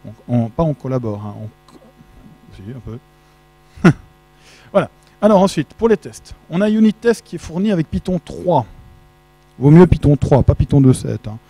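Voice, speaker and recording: Middle-aged guy joking thru a dev talk on a handheld mic, mellow voice, fairly high. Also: sing-song, moderate pace, long pauses, no echo, rough recording. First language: French. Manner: chatty, jokey, asks the audience questions. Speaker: conference speaker. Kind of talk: casual tech conference talk. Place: large dark auditorium with projection screen. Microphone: handheld microphone.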